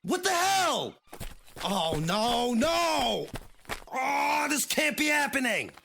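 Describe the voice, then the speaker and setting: An actor playing an exasperated, hot-tempered, sarcastic boat business owner in an audio drama. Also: male voice, extremely high pitch, slow pace, creaky, slight background noise, exaggerated voice. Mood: frustrated, angry. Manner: animated, exclaiming, sarcastic.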